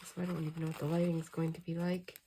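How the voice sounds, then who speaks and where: Hoarse woman chatting while crafting. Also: deep voice, fast, a little hiss or hum. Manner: relaxed, rambling talk while crafting. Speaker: crafting channel host. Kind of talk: casual crafting chat. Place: home craft table at night.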